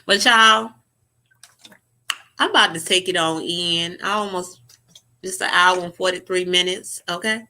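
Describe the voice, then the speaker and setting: A young woman vlogger giving sassy, profane, animated reaction commentary to camera. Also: on the low side, a little slow, long pauses.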